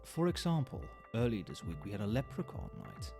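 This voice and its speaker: A man's voice: mid-range voice, big pitch swings, a little fast, lightly acted. A man playing a chatty, knowing nightclub barman in an ASMR roleplay, talking conversationally to the listener with wry understatement. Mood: amused, chatty. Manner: conversational, explanatory, with hesitations and understatement. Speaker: voice actor in an audio roleplay. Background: soft club music and nightclub ambience.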